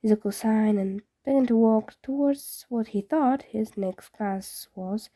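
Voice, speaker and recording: A woman's voice: on the high side, a little slow, mellow, no room sound. A woman reading a story aloud as an amateur audiobook narrator, occasionally stumbling and correcting herself. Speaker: fan fiction reader.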